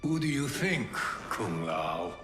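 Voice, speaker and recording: An actor playing a bitter, corrupted undead warrior in a video game, theatrical and menacing. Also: male voice, lowish voice, big pitch swings, slow pace. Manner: sneering, theatrical. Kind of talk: video game cutscene acting. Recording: music behind.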